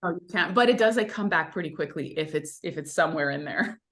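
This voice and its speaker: A woman's voice: low-pitched, fast talker. A woman actor, a native Italian speaker, chatting as an interview guest and telling stories with lots of "like". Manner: chatty, animated storytelling with many "like" fillers. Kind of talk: casual interview chat.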